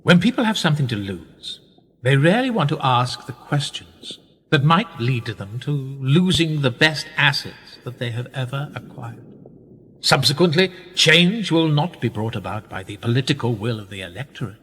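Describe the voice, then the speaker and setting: Man acting a smug husky establishment schemer, reverberant. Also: mid-range voice, animated, a bit bright. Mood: smug lecturing. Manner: measured, rhetorical, condescending. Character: older establishment schemer, smug, patronising, coolly manipulative. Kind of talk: radio play acting.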